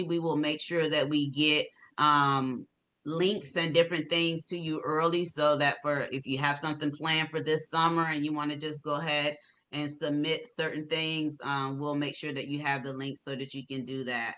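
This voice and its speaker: A woman's voice: low-pitched, steady tone, normal speed, pushed and bright. A woman hosting an online conference call, presenting informally to a group in an encouraging, instructive manner with emphatic repetition. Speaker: conference organizer and host.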